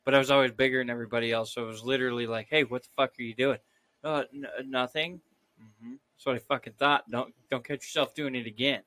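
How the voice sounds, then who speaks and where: A man's voice: mid-range voice, fairly even intonation, fast, sharp tone, dry recording. A man co-hosting a casual podcast chat, telling crude, swearing stories with a laugh. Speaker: podcast co-host.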